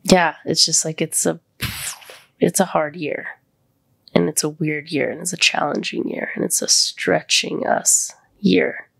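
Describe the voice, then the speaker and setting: Congested woman with a gravelly voice. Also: deep voice, steady tone, a little slow, a bit bright. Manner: open and vulnerable, near tears. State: congested, voice deeper than usual. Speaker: podcast host. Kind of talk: casual heartfelt chat.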